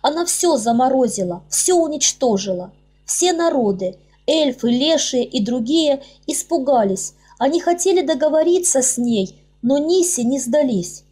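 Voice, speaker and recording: A woman's voice: sits high, expressive, moderate pace, mellow, slightly rough. A woman voicing a sorrowful young male elf in an audiobook, telling things in a pained, earnest way. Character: sorrowful young male elf recounting his people's plight. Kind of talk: audiobook character reading. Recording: music under the voice.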